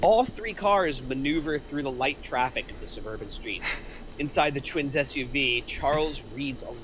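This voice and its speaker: A man's voice: medium pitch, moderate pace, a bit bright, slight background noise, poor audio. A man narrating, reading out screenplay stage directions at a table read.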